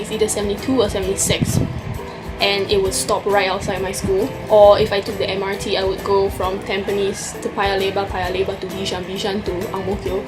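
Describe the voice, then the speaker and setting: Young Singaporean woman vlogger, casual question-tag chat, Singaporean English accent, music playing underneath. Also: mid-range voice. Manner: chatty, answers questions from a list.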